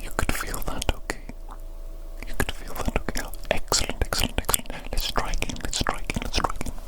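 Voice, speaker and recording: A woman whispering softly and breathily in an ASMR medical roleplay as a doctor, soothing and repeating short phrases. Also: a little fast, rough recording. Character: evil doctor tending a big creature.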